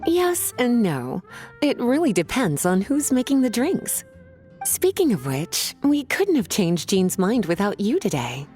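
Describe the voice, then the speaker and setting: An actress playing a languid, flirtatious woman in a video game, with a playful, coaxing, drawn-out delivery. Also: mid-range voice, huge pitch swings, warm tone, faint music behind.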